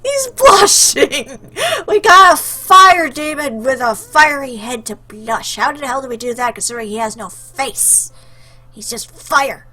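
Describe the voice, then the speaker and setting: A woman's voice: sits high, lively intonation, a little slow, pushed and bright, faint music behind. A woman giving casual, jokey commentary as she plays a game, reading choices aloud in a playful, theatrical, teasing way.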